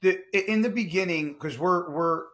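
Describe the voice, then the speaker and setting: A man in his forties hosting a casual podcast chat, blunt and animated, swearing freely. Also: sits high, moderate pace, reverberant. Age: 40s. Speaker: podcast host.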